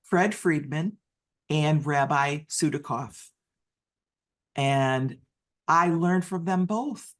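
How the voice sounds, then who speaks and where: An interpreter voicing a deaf speaker's personal story in the first person, in halting phrases full of restarts and self-corrections. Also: mid-range voice.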